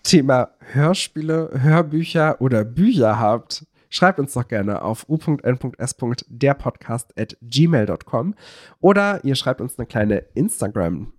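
Male podcast co-host, medium pitch, loose and searching delivery, dry sound. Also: lively intonation, a little slow, no room sound. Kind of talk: casual podcast chat.

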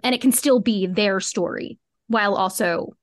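A woman's voice: mid-range voice, normal speed, no echo. Earnest, emphatic woman, dry close sound. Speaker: podcast guest, fiction podcast co-creator. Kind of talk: conversational interview answers.

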